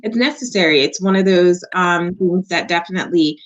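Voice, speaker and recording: A woman's voice: lowish voice, a little fast. A woman hosting an interview, affirming and encouraging her guest, her audio slightly muffled. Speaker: interview host. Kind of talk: casual interview.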